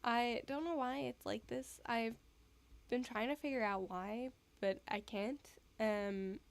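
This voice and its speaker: Woman streamer, casual live chat, soft and giggly, rambles, unhurried delivery. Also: fairly high pitch, fairly even intonation, creaky.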